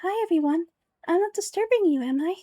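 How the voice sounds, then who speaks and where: A woman's voice: extremely high pitch, expressive, brisk, mellow, no room sound, studio quality, voice pushed up. A woman voicing a sweet, eager, girlish young dancer in a game script, earnest and breezy. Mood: cheerful, curious.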